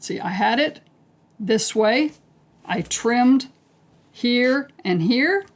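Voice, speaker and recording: A woman teaching a quilting technique step by step, calm and unhurried, clearing her throat as she is losing her voice. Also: on the high side. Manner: calm, unhurried, talks through each step as she works. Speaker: quilting instructor. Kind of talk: step-by-step craft tutorial.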